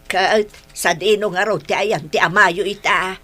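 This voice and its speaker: A woman's voice: medium pitch, steady tone, a little slow, sharp tone. An actress with an Ilocano accent playing a concerned old grandmother in a radio drama, sympathetic and fretting.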